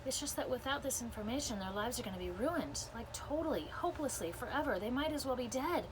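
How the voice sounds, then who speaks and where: A woman's voice: fairly high pitch, animated, quick pace, background noise, voice pushed up, lightly acted. A woman voicing an eager, chatty girl amateur detective from a children's storybook, casual, slangy and earnest. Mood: urgent, pleading.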